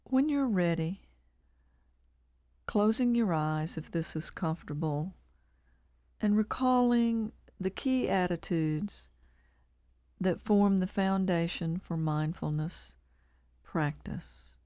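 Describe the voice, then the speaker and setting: Female meditation guide, lowish pitch, unhurried delivery in short phrases with long pauses, calm and measured, dry close sound. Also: no echo. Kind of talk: guided body scan meditation.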